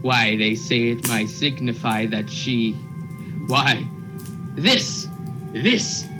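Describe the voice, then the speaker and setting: A man's voice: medium pitch, drawn-out words, over music. A man playing an earnest, officious court officer with a rustic, formal manner in a radio play. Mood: alarmed. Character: Cheever, earnest, officious male court officer serving warrants.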